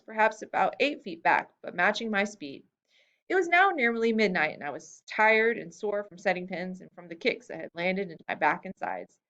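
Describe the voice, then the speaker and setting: A woman reading a first-person story aloud, lifting her voice for the quoted lines. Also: dull audio. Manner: expressive reading that lifts pitch for quoted lines. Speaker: reader. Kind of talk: storybook reading of a memoir-style tale.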